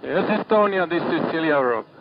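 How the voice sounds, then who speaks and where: Higher-voiced man on muffled marine radio. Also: expressive, background noise. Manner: procedural, repeats and confirms details. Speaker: ship radio operator. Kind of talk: maritime distress radio traffic. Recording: dull lo-fi marine radio recording.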